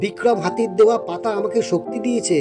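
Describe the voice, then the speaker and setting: Man as a high-voiced kindly cartoon villager. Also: fast, over music, natural voice. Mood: grateful. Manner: earnest, friendly. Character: kindly, grateful village man, goat's loyal friend. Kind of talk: children's animated folk tale acting.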